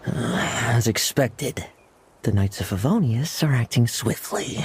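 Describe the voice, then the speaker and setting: Grim, animated schemer. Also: male voice, medium pitch, takes it slowly, slightly rough, full character voice. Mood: watchful. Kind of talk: video game voice acting.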